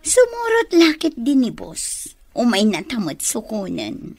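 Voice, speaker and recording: Coy, drawling young Ilocano secretary. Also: female voice, on the high side, huge pitch swings, a little slow, no echo, exaggerated voice. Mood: coy, excited. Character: young office secretary, dutiful daughter, coy. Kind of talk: Ilocano radio drama acting.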